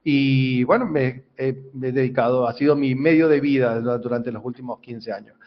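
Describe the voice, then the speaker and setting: A man's voice: mid-range voice, fairly even intonation, dull audio. A man from Venezuela, a civil engineer explaining his field as an interview guest, with frequent restarts and fillers. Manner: halting, repeats words, many fillers.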